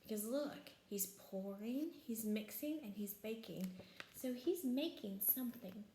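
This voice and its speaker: Female teacher, picture-book read-aloud: unhurried, slightly high voice, simple asides to children, roomy acoustic. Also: animated, warm tone, slight background noise. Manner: simple, child-directed explaining with questions.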